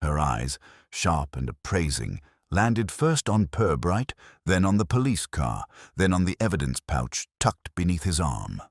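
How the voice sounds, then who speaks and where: A man with an extremely low voice narrates a mystery story. His delivery is measured and atmospheric, with plenty of movement in pitch, and the sound is close and dry. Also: sing-song, dry recording.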